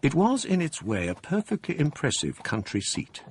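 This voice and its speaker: An actor narrating as a scholar recalling his youth, measured, wry and reflective. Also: fairly high pitch, huge pitch swings, noisy background. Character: older male scholar narrating his past. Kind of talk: radio drama narration.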